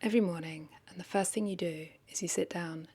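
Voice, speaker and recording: A woman's voice: lowish voice, steady tone, a little fast, mellow, breathy edge. A woman talking casually in an unscripted vlog, rambling and correcting herself as she thinks aloud. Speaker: content creator, vlogger.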